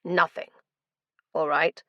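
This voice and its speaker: A man's voice: fairly high pitch, level tone, takes it slowly, no room sound, muffled recording. A woman voicing a stern, guarded male companion who speaks curtly and firmly. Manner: curt, firm.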